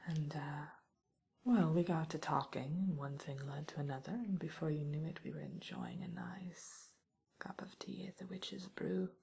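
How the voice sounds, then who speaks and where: A woman playing a wry, teasing witch who scolds an intruder in an ASMR roleplay, speaking softly and often in a whisper. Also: deep voice, big pitch swings, normal speed, exaggerated voice. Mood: wistful storytelling. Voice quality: soft, breathy, often whispered. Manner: teasing, musing, mock-stern, theatrical.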